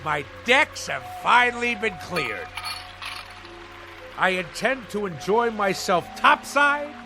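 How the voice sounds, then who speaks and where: An actor playing a pompous, blustering middle-aged husband obsessed with all things nautical, declaiming grandly in theatrical radio drama style. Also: male voice, very high voice, creaky, exaggerated voice. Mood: triumphant. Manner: grandiose, declamatory, swinging from hushed to bellowing.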